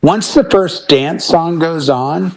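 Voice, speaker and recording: A man's voice: on the high side, slow pace, a little mellow, poor audio. A man from the Boston area talking casually as a DJ on a panel, slipping into anecdotes and short acted-out quotes. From Boston area, United States. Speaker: wedding DJ, panel member. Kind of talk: casual panel chat about music.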